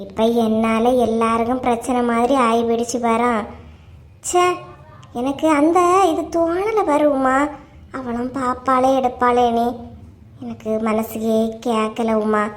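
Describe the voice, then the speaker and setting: An anxious neighbour woman played by an actress from a cartoon comedy pleads in a high voice. Her Kanyakumari Tamil is plaintive and full of self-reproach, in the manner of a remorseful elder sister. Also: steady tone, a little slow, lightly acted. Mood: sorrowful, worried. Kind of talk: animated comedy drama dialogue.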